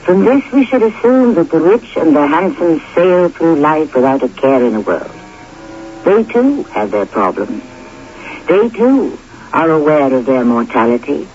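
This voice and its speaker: Male anthology host: measured, theatrical scripted narration, lively inflection, dull old radio broadcast with hiss. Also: fairly high pitch.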